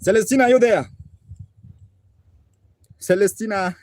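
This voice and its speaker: A man's voice: high voice, moderate pace, dry recording. A man with a Kenyan accent hosting a live music stream, effusively thanking supporters and repeating himself. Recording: loud traditional string and rattle music. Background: plucked traditional instrument and rattle.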